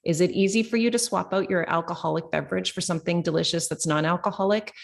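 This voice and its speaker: A woman's voice: fairly low pitch, fast talker, creaky. A woman hosting a podcast, talking earnestly and encouragingly straight to her listeners. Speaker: podcast host and coach. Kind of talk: podcast interview.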